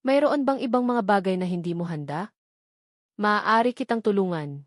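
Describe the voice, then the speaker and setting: A woman's voice: medium pitch, animated, moderate pace, dry recording, studio quality, natural voice. A woman narrator voicing a middle-aged, helpful mother of a bride in an audiobook novel. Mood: pleased, helpful.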